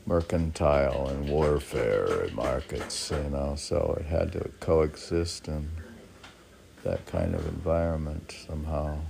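Very deep, gravelly male poet. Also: unhurried, noisy background. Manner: musing, asks and answers own questions. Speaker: poet reading own work. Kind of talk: reading poems aloud with rambling off-the-cuff commentary.

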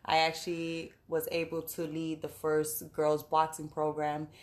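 A woman in her late twenties from the Bronx, a boxer talking casually as a guest, relaxed with many fillers and laughs. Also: sits low, a little slow. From the Bronx, New York. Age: late 20s. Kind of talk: casual podcast interview.

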